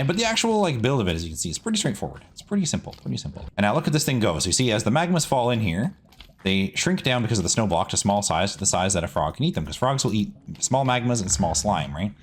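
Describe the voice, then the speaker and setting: A man's voice: lowish voice, slightly rough. A man hosting a gaming video with casual build commentary, often repeating words for emphasis. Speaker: gaming video creator and host.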